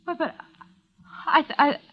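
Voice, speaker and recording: A woman's voice: unhurried, dull audio, natural voice. An actress playing a jilted young woman, stammering and emotional, in a 1940s radio drama. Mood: bewildered. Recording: old radio broadcast with faint noise.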